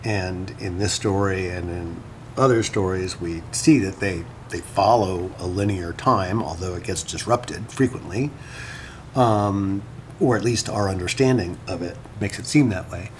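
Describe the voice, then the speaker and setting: An actor playing a scholar who muses hesitantly over notes on an ancient myth in an audio drama, pausing between short phrases, with noise behind him. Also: male voice, deep voice. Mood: fascinated, pensive. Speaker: scholar and commentator. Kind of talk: audio drama monologue as informal annotated commentary.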